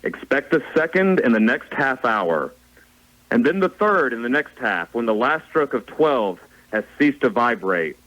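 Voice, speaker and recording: A man's voice: mid-range voice, big pitch swings, measured pace, a bit bright, muffled recording. A man playing the mournful ghost of a dead business partner in a radio play, solemn and declamatory. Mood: solemn warning. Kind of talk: theatrical radio play acting.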